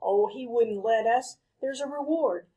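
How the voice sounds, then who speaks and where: An actress playing an anxious, determined wife of an outlaw in an old-time radio western, earnest and husky. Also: female voice, a little high, a little slow, mellow, poor audio. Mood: worried, confiding. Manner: earnest, pleading, firm.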